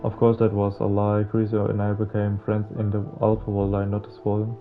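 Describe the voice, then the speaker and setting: A man reading visual novel text aloud as a playthrough host, stumbling over words now and then in a halting way. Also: lowish voice, monotone. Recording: muffled lo-fi mic, music behind. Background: game music.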